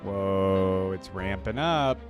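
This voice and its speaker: A man's voice: sits low, slow pace. A man giving casual reaction commentary as a host, informal and thinking aloud in questions and exclamations. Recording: music playing behind the voice. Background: instrumental theme music with piano and choir.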